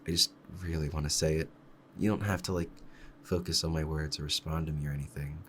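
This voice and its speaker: A man playing a devoted, clingy yandere fox-spirit husband in an intimate ASMR roleplay, speaking in a soft, tender bedtime murmur. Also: rumbling low, steady tone, brisk, slight background noise. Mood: tender, adoring.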